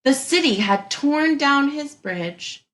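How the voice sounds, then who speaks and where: Slow, animated woman reading to young children. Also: sits high, reverberant. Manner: expressive, deliberate, thinking aloud with musing hums. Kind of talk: picture-book read-aloud with think-aloud commentary.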